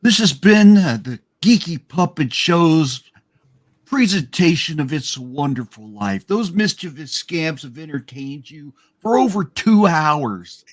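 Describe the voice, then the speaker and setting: A man's voice: fairly high pitch, lively intonation, measured pace. A man playing a pompous old-time radio announcer, grandly drawing out the sign-off in mock 1940s style.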